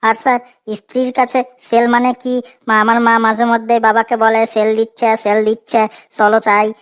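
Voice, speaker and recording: An innocent, curious cartoon schoolchild, voiced by a woman. The voice is clear and smooth, with an extremely flat melody. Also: a little high, moderate pace, close to an everyday voice. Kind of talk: comedy cartoon dialogue.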